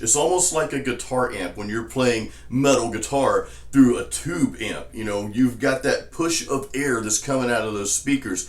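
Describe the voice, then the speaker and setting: A man with a naturally low speaking voice, a vocal coach walking students through a technique. He talks in a calm, explanatory way with an even, middle pitch, and the room adds a noticeable echo. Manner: relaxed, explanatory, says "you know" often. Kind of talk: casual spoken tutorial. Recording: roomy echo.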